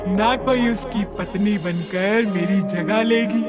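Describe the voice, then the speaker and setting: A performer voicing a vengeful pregnant witch in an animated story, brash and taunting, with menacing, slangy delivery. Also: mid-range voice, close to an everyday voice. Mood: mocking threat. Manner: taunting, slangy, drawn-out threats. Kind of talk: Hindi animated moral story voice acting. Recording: loud music behind the voice.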